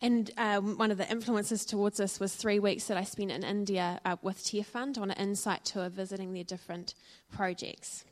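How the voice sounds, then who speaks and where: A woman with a New Zealand accent shares her faith story at a church gathering, in an earnest, reflective tone. She often pauses on ums and uhs as she speaks. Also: medium pitch, fairly even intonation. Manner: earnest and reflective, with frequent fillers. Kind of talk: personal testimony talk.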